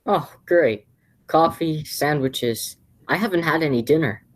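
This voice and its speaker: A woman's voice: sits low, a little slow. A teenage girl playing an exasperated young man in an amateur radio play, theatrical and sardonic. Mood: flustered.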